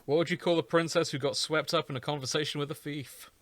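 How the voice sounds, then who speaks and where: A man's voice: on the high side, steady tone, fast, fairly bright tone. A man co-hosting a podcast, chatting casually about fan fiction with dry, sweary joking. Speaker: podcast co-host.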